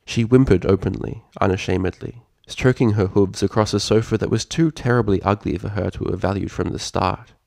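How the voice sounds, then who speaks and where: A man reading a story aloud as narrator, with a dramatic and expressive delivery. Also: lowish voice, brisk, creaky, few pauses, no echo, studio quality. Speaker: fan fiction narrator.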